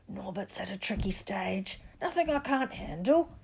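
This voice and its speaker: A woman reading a story, voicing a big soft-hearted man who stammers and blusters fondly. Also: mid-range voice, lively intonation, moderate pace, gravelly, slightly rough, exaggerated voice. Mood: flustered. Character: big soft-hearted man, tearfully doting on his pet.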